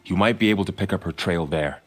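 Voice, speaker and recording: A solemn warrior, very low voice, earnest; game cutscene. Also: male voice, quick pace. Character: grave young warrior guarding a gate. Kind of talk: video game cutscene acting.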